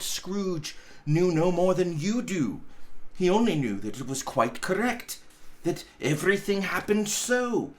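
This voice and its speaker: A man reading a classic story aloud as narrator, with deliberate, dramatic phrasing. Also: a little high.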